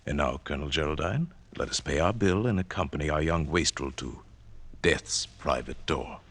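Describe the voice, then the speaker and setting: An actor playing an imperious, adventurous prince posing as a plain gentleman, suave and formal in 1940s radio drama style. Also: rumbling low, normal speed, noisy background. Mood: firm, commanding. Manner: suave, clipped, formal, commanding.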